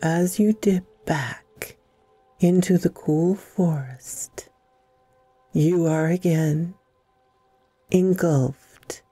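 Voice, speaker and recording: A woman hosting a guided meditation, speaking in soothing, deliberate phrases with long pauses and a breathy softness. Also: low-pitched, no echo. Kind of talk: slow guided meditation narration.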